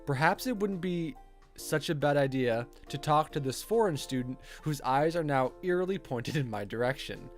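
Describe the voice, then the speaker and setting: A man's voice: on the high side, expressive, normal speed. A man streaming a visual novel, reading its narration aloud and adding casual commentary. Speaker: game streamer. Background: game music.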